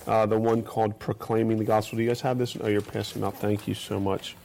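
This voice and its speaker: A man leading a church seminar, talking to the room in a relaxed way with some fillers and restarts. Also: lowish voice, fast talker, vocal fry, a little hiss or hum. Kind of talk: seminar teaching, reading testimonies aloud. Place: church or meeting room with an audience.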